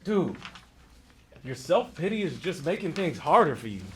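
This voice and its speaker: A man plays a blunt, casual bar employee talking to his boss. His voice is slightly high and swings widely. He sounds exasperated and incredulous, as in a live comedy table read. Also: big pitch swings, moderate pace, fairly bright tone, slight background noise.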